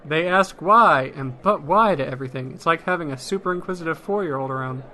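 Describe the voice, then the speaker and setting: A man plays a wry town wizard in a fantasy comedy podcast drama. His bright, forceful voice sits a little high and explains things with dry, matter-of-fact humour. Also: brisk.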